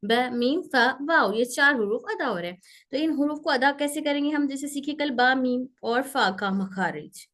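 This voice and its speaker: A woman with a high voice teaches a pronunciation class, patiently repeating each letter sound several times to demonstrate it. She explains in a steady teaching manner and mixes in English words. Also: unhurried. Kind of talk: online class lesson.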